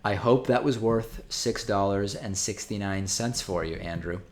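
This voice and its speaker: A man's voice: on the low side, a little slow. A man whose first language is Spanish, hosting a live stream and reading out viewer donations in a casual way, with frequent ums and restarts as he thinks aloud.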